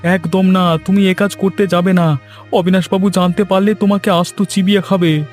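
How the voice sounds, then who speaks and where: An anxious village husband and gossip from a cartoon , put on by a man. His voice sits very high for a man and sounds excitable and pleading, over faint music. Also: normal speed, lightly acted. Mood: pleading, worried. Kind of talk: cartoon voice acting.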